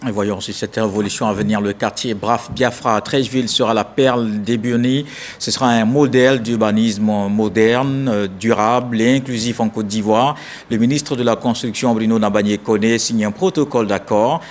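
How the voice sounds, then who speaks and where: A man's voice: lowish voice, steady tone, moderate pace, slight background noise. A man presenting scripted television news as an anchor, introducing reports.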